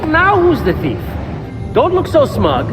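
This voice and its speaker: An actor playing a quick-witted, unapologetic young male thief in a video game, glib and persuasive. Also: extremely high pitch, wildly swooping pitch, full character voice. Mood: glib, urgent. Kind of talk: video game fantasy acting. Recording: reverberant sound, music behind.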